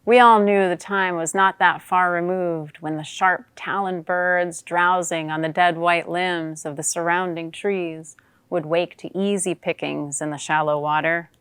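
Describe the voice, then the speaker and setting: A woman performing a dramatic monologue as a wry, watchful female newt, in measured theatrical storytelling. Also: lowish voice, steady tone, a bit bright, clear, resonant tone, no echo. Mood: somber, wry.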